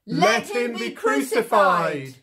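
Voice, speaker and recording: A woman playing a hostile member of a mob in an amateur Bible drama, calling out in drawn-out cries. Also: fairly high pitch, wildly swooping pitch, slightly rough, full character voice. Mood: vengeful. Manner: drawn-out declamatory shouts. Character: hostile crowd member demanding an execution.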